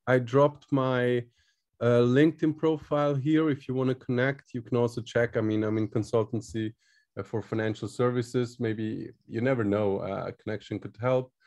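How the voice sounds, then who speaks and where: Mellow man, halting English. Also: mid-range voice, fairly even intonation. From Switzerland. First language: not English. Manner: halting, searching for words. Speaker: guest, crypto project team member. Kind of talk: casual interview answers.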